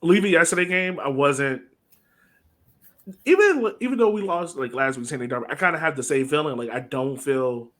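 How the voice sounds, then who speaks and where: A man's voice: fairly high pitch, expressive, moderate pace, a bit bright. Casual male soccer podcaster, thinking aloud. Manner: informal, rambling, thinking aloud. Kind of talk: casual sports podcast chat.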